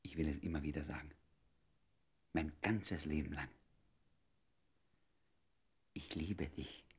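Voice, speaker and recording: Radio play lover, man: extremely low, very raspy, ardent and devoted, slipping into breathy murmurs. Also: steady tone, slow pace, exaggerated voice. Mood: earnest, tender. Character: ardent devoted man wooing an old love. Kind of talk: intimate German radio drama acting.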